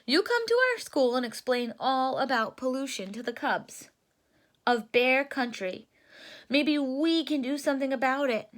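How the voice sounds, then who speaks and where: A woman's voice: high voice, expressive, unhurried. A woman voicing an earnest, eager young boy bear cub from a storybook, speaking emphatically. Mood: eager, questioning.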